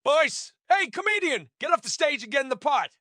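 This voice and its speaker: A man voicing a loud, hostile heckler with a grating voice in a comedy story. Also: very high voice, very animated, fairly quick, no room sound, studio quality, voice pushed up, exaggerated voice. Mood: jeering.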